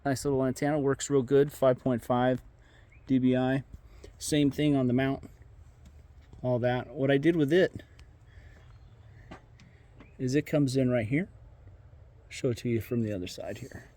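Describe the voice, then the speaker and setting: A man talks through his own vehicle work in a casual, folksy way, with fillers and restarts. His voice sits at an ordinary middle pitch for a man. He is recorded outdoors on a camera mic while moving around the vehicle. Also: fairly even intonation, unhurried, long pauses, dark tone. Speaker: car modification vlogger. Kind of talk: casual how-to walkthrough.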